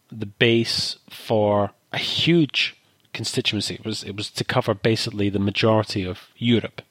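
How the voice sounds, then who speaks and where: A man's voice: lowish voice, no echo. A man co-hosting a casual chat show, talking in long thoughtful asides. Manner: discursive, thoughtful, many asides. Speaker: podcast co-host.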